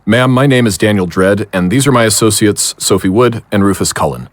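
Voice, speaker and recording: A man's voice: fairly low pitch, animated, a little fast, dry recording, natural voice. A man acting a weary but determined investigator in an audio drama, with a wry manner. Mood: calm, persuasive. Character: weary, determined male investigator of strange happenings.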